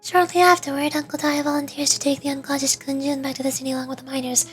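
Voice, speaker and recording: High-voiced woman streamer reading aloud. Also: little pitch movement, fast, faint music behind.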